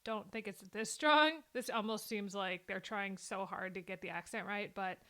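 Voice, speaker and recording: A woman's voice: mid-range voice, moderate pace, a bit bright. A woman in her late twenties from Minnesota with a light Minnesotan accent, chatting upbeat and casual as she comments on a film. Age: late 20s. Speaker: vlogger reacting to a film.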